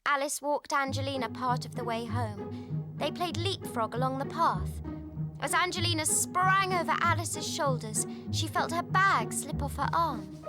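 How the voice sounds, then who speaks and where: A woman reading scripted narration for a children's read-along story, with expressive storytelling delivery. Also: sits high, measured pace, over loud music.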